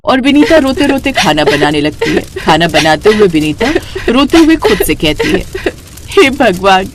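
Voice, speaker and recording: A woman's voice: mid-range voice, very animated, normal speed. A woman reading scripted story narration as the narrator, with faint noise in the recording.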